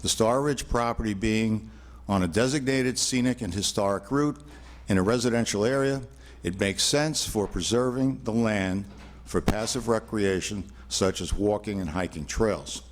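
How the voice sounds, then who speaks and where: An older man speaks as an attorney before a town board, his fairly low voice carrying loudly into a podium microphone. He delivers his case in a measured, formal way, pausing on frequent fillers. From New York, USA. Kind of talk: formal public presentation. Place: town hall meeting room.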